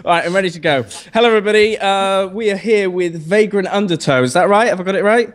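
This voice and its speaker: A man's voice: high voice, fast talker. A man hosting a casual, joking radio interview, giggly and easily flustered.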